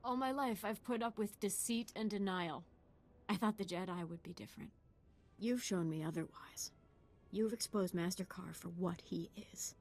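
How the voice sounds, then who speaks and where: A woman's voice: mid-range voice, moderate pace, close to an everyday voice. An actress playing an intense, idealistic young female Jedi apprentice in a video game, with a troubled, earnest and emotional delivery. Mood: resolved. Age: young adult.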